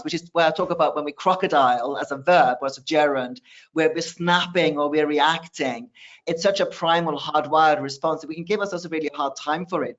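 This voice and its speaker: Fairly high-voiced man on a muffled call. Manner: relaxed, lots of "kind of" fillers. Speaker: guest speaker on anxiety. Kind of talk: webinar presentation. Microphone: video call. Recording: muffled video-call audio.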